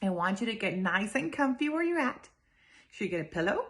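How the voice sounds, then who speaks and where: A woman reading a children's story aloud in an expressive, emphatic way, speaking directly to her young listeners. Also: mid-range voice, a little fast.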